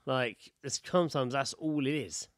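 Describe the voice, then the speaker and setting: A man's voice: mid-range voice, normal speed, no echo. Sweary British man, close dry sound. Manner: sweary, blunt, laddish. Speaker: podcast co-host. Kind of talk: casual banter, reading out stories.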